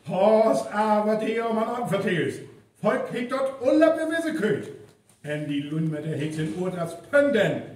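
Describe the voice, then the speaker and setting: A man's voice: high-pitched, animated, measured pace, darkish tone. A man with a North Frisian accent playing a blunt local who scoffs at superstition in a live radio play. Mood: scoffing. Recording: echoey live stage recording, distant, faint noise.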